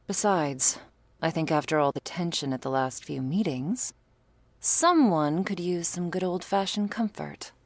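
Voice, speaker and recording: A woman acts out a doting female vampire in an intimate ASMR roleplay. Her voice sits low with a creaky edge, and she takes her time. She is wryly playful and old-fashioned, recorded close and dry. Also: lively intonation, measured pace, no echo. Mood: playful, affectionate. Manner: breathy asides, pet names, quaint turns of phrase.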